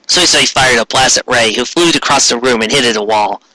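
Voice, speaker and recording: A man's voice: mid-range voice, brisk, bright, forceful tone, no room sound, rough recording. A man giving a dramatic comic reading of fan fiction, theatrical and stumbling over clumsy wording.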